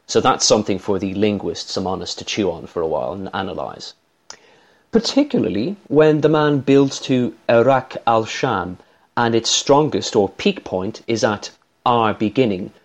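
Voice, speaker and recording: Irish man, low-pitched, formal and measured, analyst reading a prepared commentary. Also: a little mellow.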